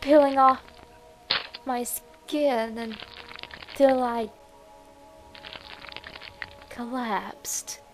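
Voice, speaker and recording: A woman acts out a deranged young woman in love with something morbid, narrating her horror story. Her voice sits fairly high and breathy. She draws her words out very slowly, with a giddy, demented edge and theatrical emphasis. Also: steady tone, over music, poor audio. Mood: unhinged, fervent. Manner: demented, breathy, dramatic, slow with whispered words.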